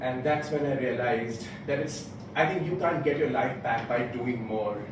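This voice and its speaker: A man gives a motivational speech in a deliberate, rhetorical way, pausing between short phrases. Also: mid-range voice. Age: late twenties. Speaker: club speaker giving a prepared speech. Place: indoor club meeting room, picked up from a distance. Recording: very echoey room, distant mic, background noise.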